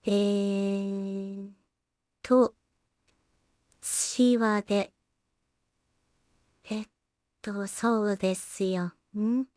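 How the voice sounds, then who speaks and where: A woman's voice: mid-range voice, fairly even intonation, very slow, long pauses, warm tone, no echo, clean studio sound. A woman creator casually thinking aloud as she works, muttering hesitantly to herself with polite asides to viewers. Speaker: solo animation creator and streamer.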